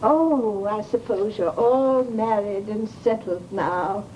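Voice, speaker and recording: A woman's voice: mid-range voice, slow pace, dark tone, close to an everyday voice. An American actress playing a chatty, nosy, easily offended landlady in an old-time radio horror drama, with folksy, exclamatory speech. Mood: curious, prying. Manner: gossipy, folksy, exclamatory, huffy when slighted. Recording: noisy old radio recording.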